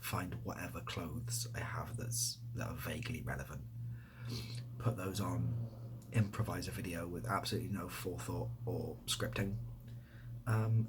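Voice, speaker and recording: A man speaking softly in a casual ASMR style, breathy and often dropping to a whisper, rambling hesitantly with a self-deprecating manner. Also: deep voice, background noise. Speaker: ASMR video creator and host. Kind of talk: soft-spoken ASMR, casual question-and-answer to camera.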